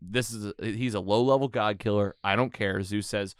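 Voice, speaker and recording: A man's voice: on the low side, fairly even intonation, moderate pace, clean tone, no echo, studio quality. A man hosting a casual film recap chat, retelling scenes and acting out bits of dialogue as he goes. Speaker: podcast host leading a movie recap.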